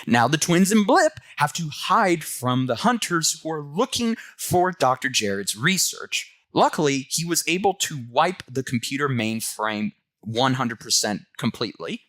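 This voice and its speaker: Lively male comic reviewer, dry close sound. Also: a little high, unhurried, hardly any pauses, no room sound. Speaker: comic book reviewer and host. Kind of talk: semi-scripted video review commentary.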